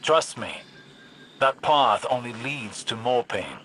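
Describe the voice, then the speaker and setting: A stern, measured mentor. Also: male voice, medium pitch, slight background noise. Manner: calm, measured, commanding. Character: stern wise male mentor and mission overseer. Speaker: mission guide character in a video game. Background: game music.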